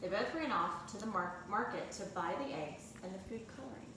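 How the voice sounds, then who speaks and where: Lady teacher reading a kids storybook out loud, super echoey, kinda far from the mic. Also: medium pitch, lively intonation, a little fast. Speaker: second grade teacher reading aloud. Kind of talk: read-aloud storybook narration for children. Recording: echoey room, distant mic, clear background noise.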